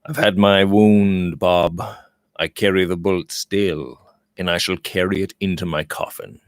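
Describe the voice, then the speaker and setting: A man's voice: deep voice, steady tone, measured pace, no echo, studio quality, voice pushed down. A man reading the part of a bitter, grieving young former cavalryman in a heavy, resigned manner from a storybook.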